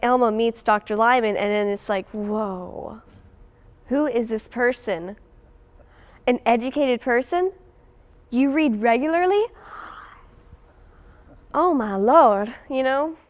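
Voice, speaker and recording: A teenage girl talks casually and expressively in an interview. Her voice is crisp and sits a little high. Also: slow, long pauses. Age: teens. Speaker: student actress.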